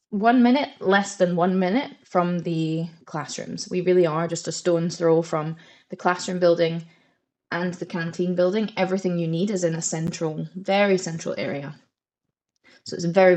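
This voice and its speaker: A Scottish woman with a slightly low voice presents a webinar over a computer mic. She walks through slides in a friendly, informative way, pausing on the odd "um". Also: a little mellow. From Scotland. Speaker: presenter for a study holiday company. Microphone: computer mic on a video call.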